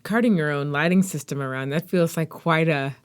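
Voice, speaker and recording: A woman's voice: deep voice, a little fast, clean tone. A woman hosting a podcast interview, listening closely and asking conversational follow-up questions. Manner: engaged, prompts with short backchannels and questions. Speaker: podcast host.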